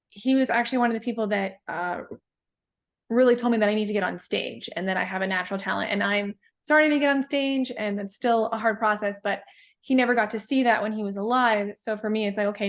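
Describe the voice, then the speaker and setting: A woman poet talking in a casual interview, thoughtful and halting, with many fillers and restarts. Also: mid-range voice. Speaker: guest poet.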